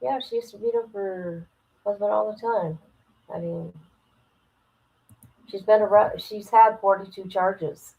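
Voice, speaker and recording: A woman's voice: mid-range voice, takes it slowly, gravelly, pauses often, dull audio. A woman hosting a casual livestream, reading aloud and commenting in a blunt, incredulous, gossipy way. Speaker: livestream host reacting to a document.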